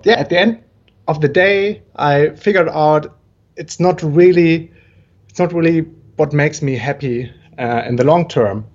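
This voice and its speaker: A man's voice: fairly high pitch, a little slow, dull audio. A man from Germany with a German accent, telling his story as a podcast guest, full of energy and with many ums and restarts. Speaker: guest entrepreneur.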